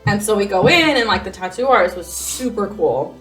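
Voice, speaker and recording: A woman's voice: medium pitch, lively intonation, brisk, a bit bright, faint music behind, low-quality recording. A young woman co-hosting a casual podcast, chatting in an informal, slangy way. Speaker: podcast co-host. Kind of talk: casual chat.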